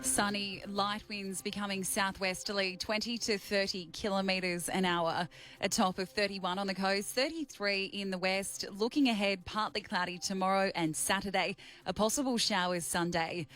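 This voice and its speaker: An Australian woman reads a radio weather bulletin. Her voice sits at a middle pitch, in a scripted newsreader's delivery. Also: fairly even intonation, normal speed, a bit bright, faint music behind.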